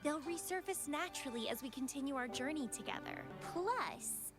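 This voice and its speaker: A woman's voice: high voice, lively intonation, moderate pace, over music. An actress voicing a playful, dangerously devoted young woman rival in a video game, with a teasing, languid, dramatic delivery. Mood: breezy, confident. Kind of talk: scripted video game voice acting.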